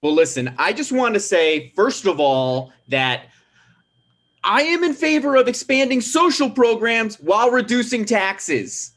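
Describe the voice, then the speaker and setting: A man's voice: high voice, sing-song, a little slow, fairly bright tone, heavily acted. A man playing a touchy, aggrieved mayoral candidate and grown son in a comedic table read, earnest and exasperated. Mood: stiff, earnest.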